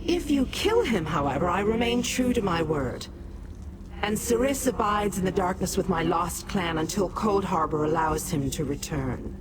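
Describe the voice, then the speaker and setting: A woman voicing a haughty, vengeful Daedric demon in a fantasy video game, with a measured, condescending delivery. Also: lowish voice, lively intonation, background noise, natural voice. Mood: haughty, commanding. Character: vengeful, haughty female Daedra, last of her clan.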